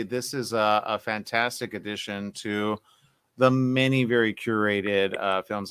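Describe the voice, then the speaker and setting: A man's voice: fairly low pitch, bright, forceful tone, clear, resonant tone, dry recording. A man hosting an entertainment interview, upbeat and encouraging, asking long, rambling questions. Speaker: interview host.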